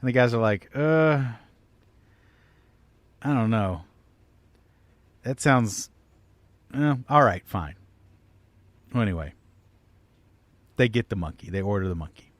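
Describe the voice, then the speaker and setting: Animated man telling a long joke. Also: fairly low pitch, slow pace, long pauses, no echo. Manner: profane, acts out quoted dialogue. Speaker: comedy podcast storyteller. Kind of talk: casual comic storytelling, telling a long joke.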